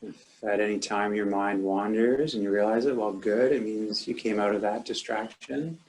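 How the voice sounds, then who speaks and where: Low-voiced man guiding meditation. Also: a little hiss or hum, rough recording. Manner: calm, measured, with long pauses. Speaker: meditation teacher. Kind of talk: guided meditation instruction.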